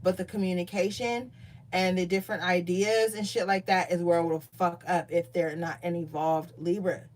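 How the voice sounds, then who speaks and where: A woman giving a casual tarot reading, chatty and profane, reading card meanings aloud more calmly. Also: fairly low pitch, moderate pace. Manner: loose, profane, chatty asides. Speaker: tarot reader.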